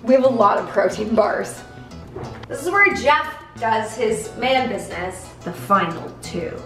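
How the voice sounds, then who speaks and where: A young woman with a fairly high voice leads a joking home tour, switching between chirpy lines and deadpan asides. She is recorded on a camera mic in a roomy apartment, with faint music under her. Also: a little slow. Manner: deadpan, self-mocking, jumps between bright and flat. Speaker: vlogger. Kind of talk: comedic home tour vlog. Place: apartment, indoors. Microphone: camera mic, handheld and at distance.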